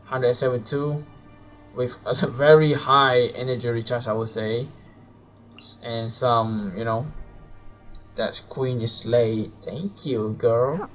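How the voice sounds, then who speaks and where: Slow-talking man live streaming a game. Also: medium pitch, steady tone, fairly bright tone, highs cut off, soft background music. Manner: hesitant, rambling, self-correcting. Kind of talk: casual live stream commentary.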